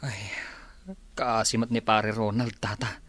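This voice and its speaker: A man's voice: on the low side, flat delivery, a little slow, a bit bright, no echo. A man playing a sympathetic younger villager speaking pityingly to an elder in a radio drama. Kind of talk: Ilocano radio drama acting.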